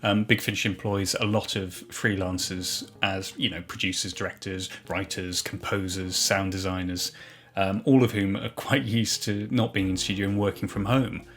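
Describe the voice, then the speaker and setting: A man with a low voice gives an informal account of his work as a producer and director. He speaks from a home office, with faint guitar music behind him. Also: flat delivery, moderate pace, a bit bright. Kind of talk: informal talk to camera.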